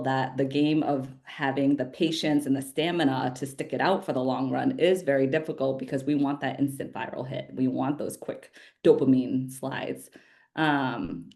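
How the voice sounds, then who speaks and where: A woman's voice: very deep voice, vocal fry, a little mellow. A woman whose first language is Vietnamese, a social media marketer talking loosely and casually on a panel. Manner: conversational, loose, with fillers and casual swearing.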